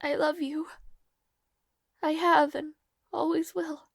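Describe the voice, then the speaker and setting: Girl voice actor doing a sad devoted maid for a fantasy audio rp, really high voice, formal n halting, kinda tearful, super dry close sound. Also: little pitch movement, vocal fry, no room sound, heavily acted. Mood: sorrowful farewell. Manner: formal, halting, hesitant, earnest speech. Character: devoted young noblewoman maid, lovelorn, dutiful, tearful. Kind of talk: intimate fantasy audio roleplay acting.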